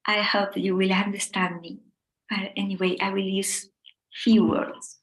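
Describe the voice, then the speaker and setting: A middle-aged woman from Spain with a Spanish accent, gently leading a meditation talk in short, unhurried phrases with pauses. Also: mid-range voice, flat delivery, echoey room, poor audio. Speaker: meditation teacher.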